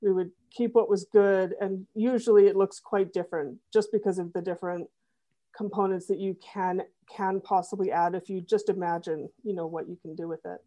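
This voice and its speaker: Woman with a mellow voice. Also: mid-range voice, fairly even intonation, normal speed. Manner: thoughtful, many fillers and restarts. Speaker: web developer guest. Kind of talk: conversational interview.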